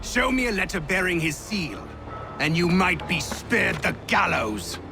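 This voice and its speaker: Sneery general, slow drawl, big swings up and down, music behind. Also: male voice, sits high, big pitch swings, heavily acted. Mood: scornful. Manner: imperious, scornful, given to angry outbursts. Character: arrogant stern British general, middle-aged. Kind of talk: video game cutscene acting.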